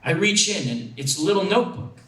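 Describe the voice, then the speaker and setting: A man reads a story aloud in a slightly high voice, with an actor's expressive delivery. The recording is roomy and echoing, with him standing some way from the microphone. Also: brisk. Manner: expressive, acting out dialogue. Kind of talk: dramatic first-person story reading. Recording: echoey room, distant microphone.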